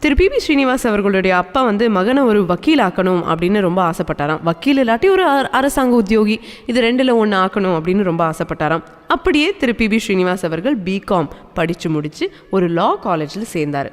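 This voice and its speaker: A woman's voice: medium pitch, animated, brisk. A woman with a Tamil accent hosting a radio show in a chatty, storytelling way, mixing in some English. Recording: long reverb on the voice.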